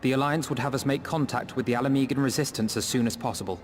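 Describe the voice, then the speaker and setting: A man's voice: mid-range voice, soft background music, close to an everyday voice. Man acting a composed, eloquent young diplomat. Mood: composed, earnest. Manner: formal, measured, earnest. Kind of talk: scripted fantasy game dialogue.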